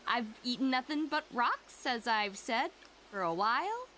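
A woman's voice: on the high side, very animated, a little slow, pushed and bright, a little hiss or hum, full character voice. A woman voicing a sweet young princess in an improvised fandub, rambling in a giggly, flustered way. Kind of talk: improvised real-time fandub.

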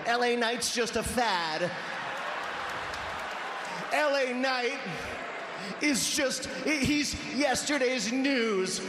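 A man in his forties cutting a cocky, shouted wrestling promo on a handheld mic in a large indoor arena. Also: very high voice, drawn-out words, pauses often. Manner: shouting, drawling, cocky swagger. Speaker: pro wrestler. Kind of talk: wrestling promo to a live crowd. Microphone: handheld microphone. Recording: echoey arena, crowd noise.

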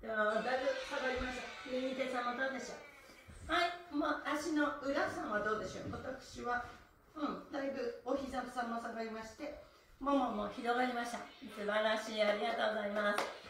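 High-voiced woman in an echoey room. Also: steady tone, brisk, far from the mic, noisy background. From Japan. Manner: cheerful, chanting counts with laughs. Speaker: stretching instructor. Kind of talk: live-streamed exercise class. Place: small room with bare walls, indoors. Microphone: phone camera a few meters away.

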